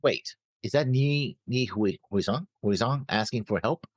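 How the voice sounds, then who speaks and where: A man co-hosting a reaction show in casual chat, animated but halting, often correcting himself as he goes. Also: medium pitch, husky, dry recording.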